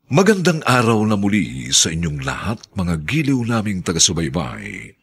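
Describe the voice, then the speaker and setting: A man with a Filipino accent narrating a radio drama in a solemn, grandiose, theatrical way with drawn-out emphasis. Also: low voice, wildly swooping pitch, fairly bright tone, husky.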